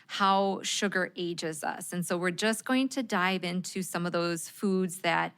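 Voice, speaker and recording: A woman's voice: lowish voice, a little slow, fairly bright tone, clear, resonant tone. A woman in her thirties hosting a radio talk show, chatty and affirming, often saying "like" and restarting her sentences. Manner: affirming, chatty, many fillers.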